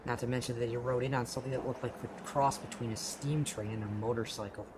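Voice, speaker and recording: A man's voice: mid-range voice, steady tone, noisy background, lightly acted. A man playing a sarcastic, bewildered young human lost in a desert, in a casual, slangy amateur audio play, with music behind him. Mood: wry narration. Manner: casual, slangy, exasperated, halting when confused. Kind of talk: amateur audio play with first-person narration. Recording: very loud music bed behind voice.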